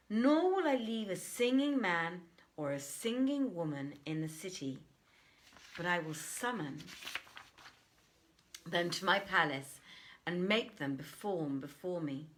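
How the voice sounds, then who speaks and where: A man's voice: high-pitched, fairly bright tone, exaggerated voice. A woman voicing a boastful, greedy glassware seller, a man musing grandly on future riches in a storybook tale. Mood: grand daydreaming. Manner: grandiose, self-absorbed musing. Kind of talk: dramatic storybook reading.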